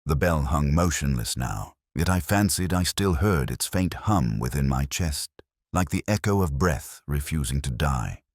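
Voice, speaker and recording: Extremely deep-voiced man narrating a story. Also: lively intonation, no room sound, studio quality. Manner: measured, solemn, literary. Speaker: first-person story narrator. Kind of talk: dramatic scripted narration.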